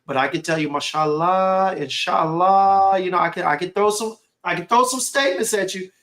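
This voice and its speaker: A male host speaks with a slightly high voice in an easygoing conversation. He rambles and stacks up fillers like "whatnot" and "you know", with lively, animated energy. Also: moderate pace. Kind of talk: casual conversation.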